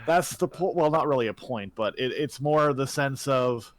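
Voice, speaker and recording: A man's voice: mid-range voice, normal speed, dry recording. A man co-hosting a podcast in casual, bantering conversation with a friend. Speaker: podcast co-host and streamer.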